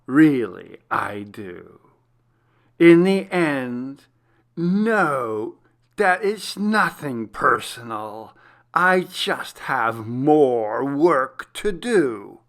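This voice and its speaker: A man voicing a menacing, gloating serial killer in a horror story, taunting with slow, drawn-out mock affection. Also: medium pitch, creaky, a bit bright, heavily acted. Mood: taunting, sinister. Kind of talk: dramatic horror story reading.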